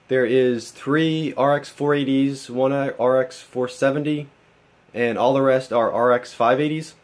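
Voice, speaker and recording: A man with a bit of a cold, casually walking through his home computer build in a matter-of-fact way, filming with a phone. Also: medium pitch. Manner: plain, matter-of-fact, with fillers like uh and um. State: getting a cold. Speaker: tech hobbyist vlogger. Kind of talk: casual show-and-tell walkthrough. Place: home room with a mining rig.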